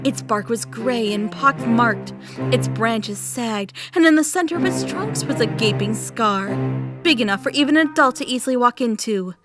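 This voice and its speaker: A woman narrating a scripted fantasy story in an expressive, dramatic storytelling manner. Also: on the high side, moderate pace. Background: music.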